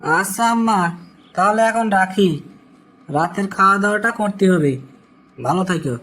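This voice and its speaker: Man acting high-voiced dutiful Bangladeshi migrant worker. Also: animated, normal speed, a bit bright, slight background noise. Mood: polite, obliging. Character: weary, dutiful young man working abroad. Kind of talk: cartoon dialogue.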